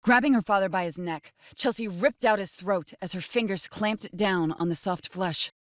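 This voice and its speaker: A woman's voice: mid-range voice, moderate pace, no echo, studio quality. A woman narrating a dramatic scripted horror audiobook.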